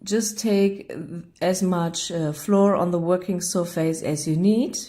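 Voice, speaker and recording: A woman from northern Germany with a German accent, narrating her baking video in English, a second language, pausing often to find words. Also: fairly low pitch, warm tone. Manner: searching for English words, apologetic, hesitant with many uh fillers. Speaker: cooking vlogger.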